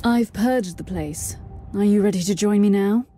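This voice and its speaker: A woman's voice: medium pitch, normal speed, dark tone, slight background noise, natural voice. An actress playing a resolute, commanding female warrior inquisitor in a video game, with a blunt manner. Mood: brisk, determined. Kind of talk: scripted video game voice acting.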